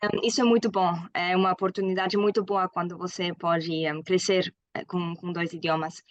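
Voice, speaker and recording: A woman's voice: lowish voice, flat delivery, moderate pace, no echo, muffled recording. German woman in her twenties, guest, hesitant and full of fillers, dry close sound. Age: 20s. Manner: hesitant with frequent fillers, laughs often. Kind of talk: casual interview.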